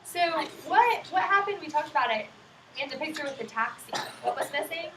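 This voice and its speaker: Young female student teacher, high register, child-directed read-aloud, affirming manner; distant mic with clear background noise. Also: lively intonation, a little fast, fairly bright tone, roomy sound. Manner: encouraging, affirming, simple child-directed phrasing. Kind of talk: interactive picture-book read-aloud with questions to young children. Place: indoors in a classroom with young children.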